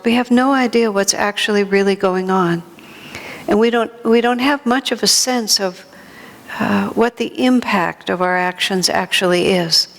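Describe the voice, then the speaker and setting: A woman's voice: mid-range voice, fairly bright tone. A woman giving an informal spiritual talk to a gathering, reflective and searching for her words as a teacher. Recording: long hall reverb.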